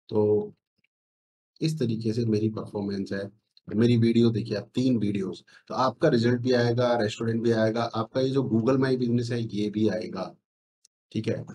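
A man's voice: lowish voice, mellow, low-quality recording. A middle-aged man talks viewers through an on-screen demonstration. He sells his consulting service with self-assurance and often repeats phrases to drive a point home. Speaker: SEO consultant. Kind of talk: screen-share sales pitch to viewers. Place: indoor room, screen recording with webcam.

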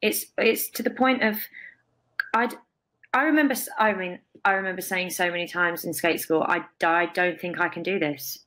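A woman's voice: fairly low pitch, moderate pace. Young Englishwoman telling emphatic stories. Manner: expressive, emphatic storytelling. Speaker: guest, stage performer. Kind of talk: casual interview. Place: bright indoor room. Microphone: video call.

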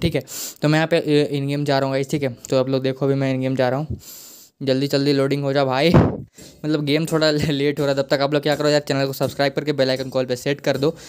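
A man's voice: mid-range voice, a little mellow, smooth. A man with an Indian accent hosting a casual gaming tutorial, repetitive and addressing viewers directly. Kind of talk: casual screen-recorded tutorial.

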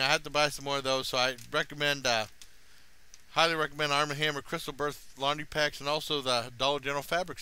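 A man's voice: medium pitch, sharp tone, clean tone, poor audio. A middle-aged American man giving a casual, rambling review in a laid-back, plain-spoken way, recorded on a headset at home. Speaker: product reviewer, vlogger. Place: small room at home.

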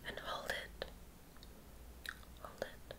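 Very slow, whispering ASMR woman. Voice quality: breathy whisper. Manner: soothing, slowly repeating phrases. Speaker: ASMR host guiding relaxation. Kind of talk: ASMR sleep hypnosis.

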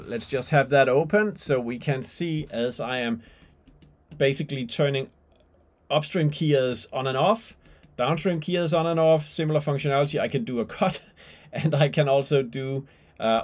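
A middle-aged man presents a hands-on technical tutorial in a medium-pitched voice. He speaks informally and unscripted, thinking aloud with some hesitation as he works through each step. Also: moderate pace. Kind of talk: unscripted software and hardware demonstration. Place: small indoor room with skylight window.

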